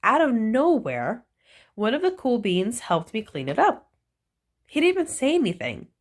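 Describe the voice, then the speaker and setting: A woman reading a children's picture book aloud, with slow, playful storytelling and animated emphasis. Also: on the high side.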